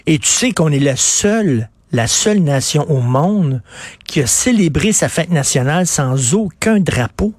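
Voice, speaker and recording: Quebec french guy hosting radio talk, emphatic, kinda high voice, dry sound. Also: expressive, measured pace, darkish tone, dry recording. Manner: emphatic, indignant.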